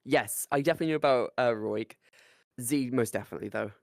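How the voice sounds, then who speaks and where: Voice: mid-range voice, fast, vocal fry, dry recording, studio quality. A podcast co-host who laughs a lot, swears, and teases playfully in casual chat.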